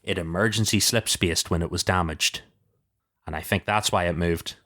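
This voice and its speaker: A man's voice: low voice, steady tone, moderate pace, bright, forceful tone. A man chatting casually as a podcast panelist, talking informally and thinking aloud.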